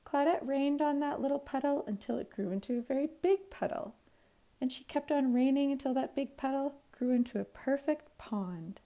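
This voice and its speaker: A woman reads a picture book aloud for young children. Her voice sits a little high yet has a soft, mellow tone with noticeable creak. She phrases the story clearly and expressively, like a teacher at story time. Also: normal speed. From Canada. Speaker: primary school teacher reading aloud.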